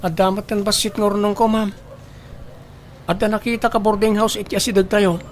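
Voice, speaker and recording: A woman's voice: mid-range voice, little pitch movement, moderate pace, a little hiss or hum, natural voice. A woman playing a timid, hard-working youngster in a radio drama, speaking in a deferential, pleading manner. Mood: respectful, shy. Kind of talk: Ilocano radio drama acting.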